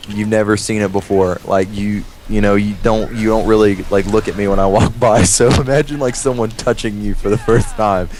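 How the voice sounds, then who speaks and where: A young American man with a fairly low voice tells stories casually and profanely over game voice chat. He rambles and gets animated acting out what people said. There is clear noise in the recording. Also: fairly quick, hardly any pauses, low-quality recording. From United States. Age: young adult. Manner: rambling, animated retelling with acted-out quotes. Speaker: storyteller among friends playing a game.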